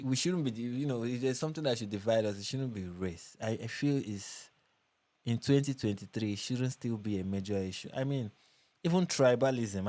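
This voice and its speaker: A man's voice: fairly low pitch, a little mellow, no echo. A young Nigerian man hosting a casual livestream, reading viewer comments and chatting with restarts and repeats. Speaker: livestream host.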